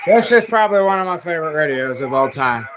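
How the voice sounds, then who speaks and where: A man's voice: on the high side, lively intonation, quick pace. A man chatting casually to viewers as he hosts a hobbyist radio livestream. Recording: clearly noisy live stream with clicks.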